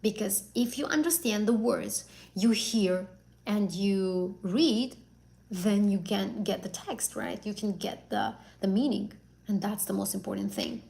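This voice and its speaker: Chatty Azerbaijani woman, gravelly voice. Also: medium pitch, animated, measured pace, dark tone, poor audio. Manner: chatty, repeats and rephrases, many fillers. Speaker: English exam tutor. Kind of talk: live stream Q&A.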